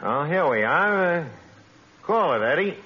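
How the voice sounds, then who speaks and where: An actor playing a cocky, scheming tavern manager with a New York accent, boastful and slangy, in 1940s radio comedy style. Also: male voice, on the high side, very animated, measured pace. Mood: sly, stalling. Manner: boastful, slangy, mangled grandiose phrases. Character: cocky, scheming working-class tavern manager, would-be ladies' man. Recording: muffled, very noisy old radio recording.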